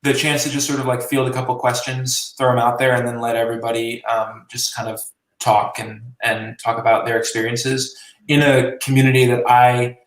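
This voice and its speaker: A man's voice: mid-range voice, completely flat delivery, normal speed, distant mic. A man in his late twenties, a musician and journalist being interviewed, talking casually and rambling, with many fillers. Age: 20s. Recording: roomy and from the mic.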